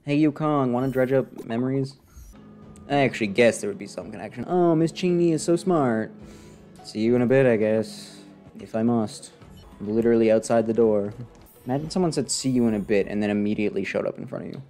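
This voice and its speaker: A young man gives live game commentary in a mellow, medium-pitched voice. He sounds sarcastic, deadpan and fed up, and speaks close to the mic with music faintly behind him. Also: brisk. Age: young adult. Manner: deadpan, mocking, exasperated. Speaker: streamer playing and reacting to a game. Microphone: desk microphone close up, with headset.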